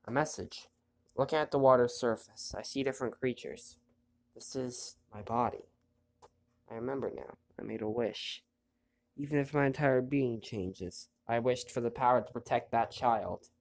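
A man with a stuffy nose hosting a gameplay video, chatting casually and reading the on-screen story text aloud in a quiet, low voice. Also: moderate pace. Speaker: let's play host.

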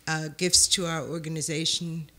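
Bright-voiced woman moderating a panel. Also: sits low, flat delivery, normal speed.

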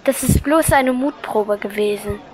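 A woman acts a polite but cunning schoolgirl in a children's radio play. She speaks slowly in a fairly high voice, hesitant and persuasive. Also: lightly acted. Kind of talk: children's audio drama acting.

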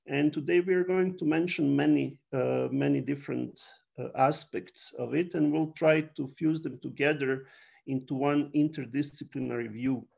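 A middle-aged man from Serbia with a Serbian accent, a native Serbian speaker, giving an explanatory online lecture with frequent halting pauses. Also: fairly high pitch, creaky, a little mellow, slightly rough. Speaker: university biology lecturer. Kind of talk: online lecture to a general audience.

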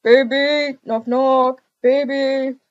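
A woman's voice: high voice, steady tone, drawn-out words, no echo, lo-fi sound. A woman playing a wheedling, persistent cheating ex-boyfriend in a game roleplay. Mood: insistent. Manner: wheedling, insistent.

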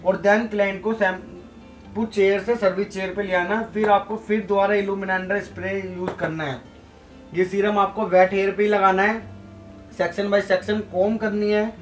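A man's voice: high voice, flat delivery, moderate pace, faint music behind. A young man teaching a hair treatment step by step in a chatty tutorial, often calling out to his viewers. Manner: chatty, repeats "guys" and fillers. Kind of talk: casual tutorial explanation. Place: indoor studio with blue lights.

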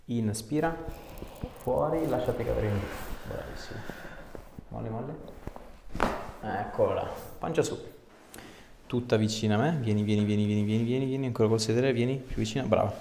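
A man's voice: medium pitch, a little fast, vocal fry, pauses often. A man, working as a chiropractor, giving short repeated coaxing instructions and chatting casually with joking asides. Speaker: chiropractor treating a patient. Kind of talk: hands-on instructions and casual chat with patient. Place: small indoor treatment room. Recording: echoey small room, faint background noise.

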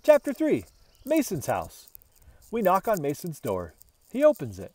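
A man narrates a children's storybook in an expressive, dramatic style. His voice is high for a man and swings widely in pitch, with a dry, close sound. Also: measured pace, no echo. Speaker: storybook reader.

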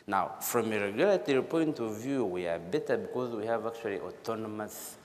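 Low-voiced Ugandan man presenting in echoey hall. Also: animated, fairly quick. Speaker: conference speaker, energy specialist. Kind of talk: formal conference presentation. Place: large auditorium stage. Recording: echoey hall, noticeable background noise.

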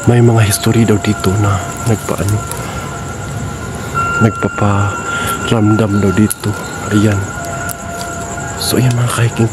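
A young man talks haltingly into a handheld camera, padding his lines with fillers and repeating himself. He is outdoors at night on overgrown grounds, and his pitch sits in a middling range. Loud music plays behind him. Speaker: vlogger exploring an abandoned building. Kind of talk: casual handheld walkthrough at night. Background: loud music bed.

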